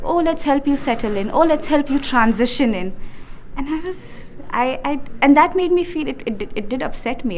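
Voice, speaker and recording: Emphatic higher-voiced woman student. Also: a little mellow, a little hiss or hum. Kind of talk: personal testimony.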